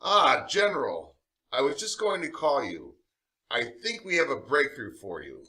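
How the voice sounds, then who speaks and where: A man's voice: mid-range voice, sing-song, normal speed, bright, forceful tone. An actor playing an earnest, deferential researcher who is sure of his data, speaking emphatically in radio drama style. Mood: eager. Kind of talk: old-fashioned radio drama acting.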